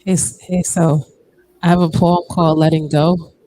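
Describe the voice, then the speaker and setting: A woman's voice: low-pitched, level tone, normal speed, warm tone, poor audio. A woman poet who jokes playfully, then reads her spoken word poem. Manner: playful, joking self-promotion. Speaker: poet and author. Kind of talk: spoken word poetry reading.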